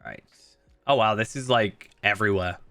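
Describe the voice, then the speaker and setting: Bright-voiced man, stuffy nose. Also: on the low side, moderate pace, vocal fry, no room sound, faint music behind. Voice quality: slightly nasal. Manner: chatty, thinking aloud with many fillers and restarts. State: blocked nose. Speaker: game streamer. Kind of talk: casual live-stream commentary while playing.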